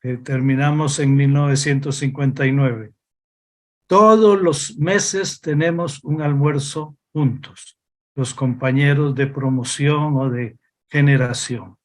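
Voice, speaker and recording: An older man from Costa Rica, a religious brother, answering interview questions slowly and reflectively with frequent pauses. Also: mid-range voice, steady tone. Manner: slow, reflective, hesitant with pauses. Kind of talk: interview over video call.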